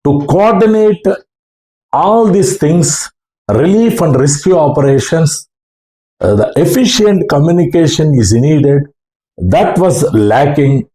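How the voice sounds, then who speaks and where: A man with an Indian English accent speaks slowly in a roomy, echoey space. His phrasing is formal and halting, with a mellow tone, as he tells a first-person account. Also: on the high side, animated. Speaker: ham radio operator recounting experiences. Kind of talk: slow first-person storytelling talk.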